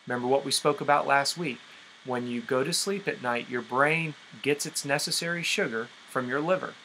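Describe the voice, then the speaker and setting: A man's voice: mid-range voice, bright, forceful tone, slight background noise, low-quality recording. A man coaching nutrition on a conference call in an emphatic, explanatory way, often checking in with his listeners.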